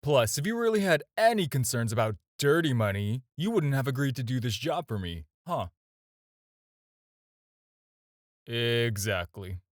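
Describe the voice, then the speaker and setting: A man acting in an audio roleplay as a smooth-talking, flirtatious villain who teases and coaxes the listener with playful pauses. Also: medium pitch, very animated, no room sound, clean studio sound, close to an everyday voice. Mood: sly, coaxing. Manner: coaxing, playful, hesitant pauses and drawn-out teasing. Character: smooth-talking, flirtatious adult male supervillain, teasing and persuasive.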